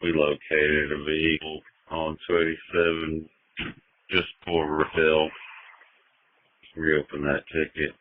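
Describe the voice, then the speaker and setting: A man's voice: extremely low pitch, flat delivery, takes it slowly, pauses often, no room sound. A man working as a firefighter and incident commander, giving terse procedural calls over emergency radio. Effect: two-way radio. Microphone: radio handset. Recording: muffled narrowband fire department radio.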